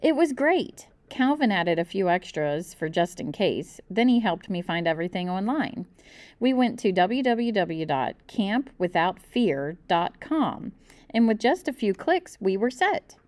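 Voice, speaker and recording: Animated woman reading a children's story. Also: medium pitch, a little slow, dry recording. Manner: animated, acts out the dialogue. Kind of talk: expressive audiobook-style narration in the first person.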